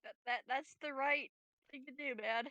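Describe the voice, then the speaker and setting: A woman's voice: high-pitched, moderate pace, sharp tone, no echo. A woman chatting casually as a player in a tabletop roleplaying game. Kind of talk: casual chat.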